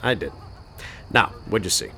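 An actor playing a world-weary, sardonic Boston police detective who asks probing questions in a radio drama. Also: male voice, on the low side, expressive, moderate pace, gravelly, sharp tone, slight background noise, full character voice. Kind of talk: 1920s-style radio drama acting.

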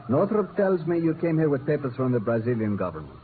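Radio drama, male actor: grave plantation man, urgent formal warnings, lively intonation, narrow old recording. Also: mid-range voice, quick pace, a little hiss or hum, natural voice. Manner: formal, emphatic. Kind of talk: 1950s radio acting.

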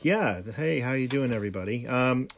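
A man's voice: medium pitch, animated, moderate pace, vocal fry, background noise. A middle-aged man co-hosting a casual home podcast, rambling with many ums and restarts. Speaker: podcast co-host.

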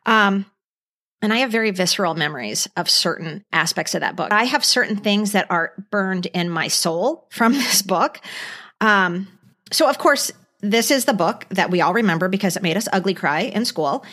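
A middle-aged woman hosting a casual podcast chat, telling things in an emphatic, expressive way. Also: medium pitch, normal speed. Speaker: podcast host.

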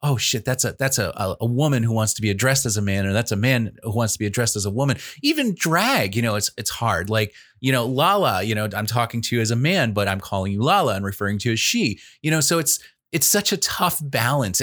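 A man's voice: mid-range voice, big pitch swings, quick pace, hardly any pauses, fairly bright tone, no echo, clean studio sound. An older man hosting a casual talk show, chatty and candid with plenty of fillers. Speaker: host.

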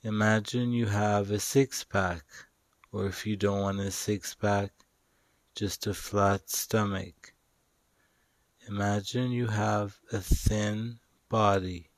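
Male meditation guide: very slow, flat, soothing delivery, long pauses between simple instructions, dry recording. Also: fairly low pitch, no room sound. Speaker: meditation guide and show host.

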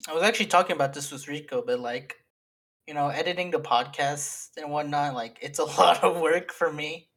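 A man's voice: a little high, brisk, fairly bright tone. A young man co-hosting a casual podcast with friends, rambling through long sentences full of fillers and laughing as he talks. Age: young adult. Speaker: podcast co-host and editor. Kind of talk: casual chat among friends.

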